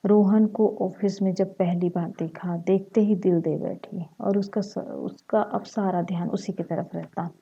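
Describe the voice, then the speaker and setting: A woman with a slightly husky voice, narrating a short story. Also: mid-range voice, steady tone, unhurried, hardly any pauses, muffled recording.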